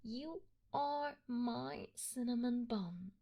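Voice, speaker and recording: A woman playing an obsessive, doting young yandere kidnapper in an intimate ASMR roleplay, soft and breathy with frequent drops toward a whisper. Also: fairly high pitch, drawn-out words, full character voice. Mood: tender, obsessive. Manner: soft breathy delivery dropping often into whispers.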